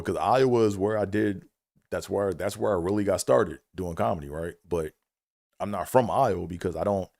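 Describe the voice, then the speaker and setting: A middle-aged man from Maryland with a very deep voice holds a casual solo livestream chat. He rambles loosely and leans on fillers and "you know what I'm saying?" The sound is dry and close, with no room around it. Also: dry recording. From Maryland, USA. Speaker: comedian and engineer hosting a livestream.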